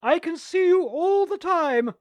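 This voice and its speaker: A man voicing a shrill, bossy aunt who screams and scolds. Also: expressive, a little slow, no room sound. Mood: scolding. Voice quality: shrill, piercing.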